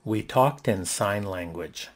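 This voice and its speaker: A man reading English example sentences for a lesson, each one said twice in an even, deliberate way. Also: on the low side.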